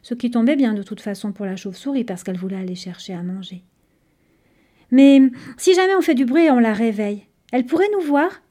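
A woman's voice: on the high side, animated, fairly quick, dark tone. A woman voicing a tiny, curious, eager young female creature in a storybook, chatty, inquisitive and earnest. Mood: eager, questioning. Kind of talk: audiobook storytelling.

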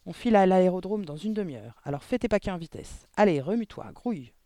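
A man voicing a gruff, impatient father from a storybook who barks curt orders. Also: high voice, lively intonation, quick pace, mellow, no echo, clean studio sound, pitched above the performer's own voice. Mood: hurried, brusque. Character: gruff, impatient, bullying father of a young girl.

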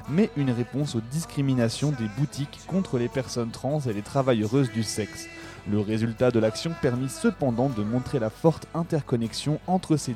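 A man's voice: mid-range voice, mellow. A man reading a scripted documentary narration as a radio presenter. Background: music.

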